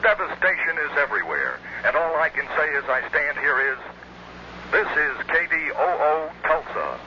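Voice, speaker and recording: A man's voice: fairly high pitch, big pitch swings, normal speed, pushed and bright. A man reporting dramatically in a vintage radio news style. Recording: muffled, slightly noisy old radio broadcast.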